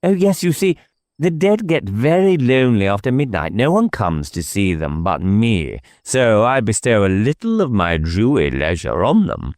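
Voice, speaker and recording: A man's voice: on the low side, sing-song, moderate pace, a little mellow, no room sound, clean studio sound. A man voicing a whimsical, evasive village clergyman with a coy, wordy and mannered way of talking, in an audiobook. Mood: dotty, airy.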